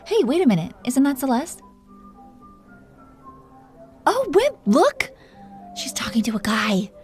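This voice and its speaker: Mellow, teasing dragon queen played by a woman. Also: mid-range voice. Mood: playful, teasing. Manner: teasing, coaxing, soft and intimate, dropping into whispers. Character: possessive, teasing, protective dragon queen, tender toward her captive. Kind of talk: intimate roleplay audio drama addressed to the listener.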